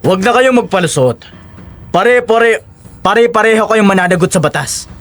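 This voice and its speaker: A man's voice: sits high, expressive, moderate pace, a bit bright. A man playing an authoritative constabulary officer in an audio drama, commanding and formal.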